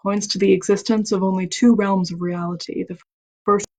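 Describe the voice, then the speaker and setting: A woman's voice: mid-range voice, fairly even intonation, normal speed, mellow. Woman reading aloud on a live stream. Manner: reads steadily, stumbles on words and restarts, chatty asides. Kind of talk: reading a book aloud with casual asides. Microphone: computer mic on a home live stream.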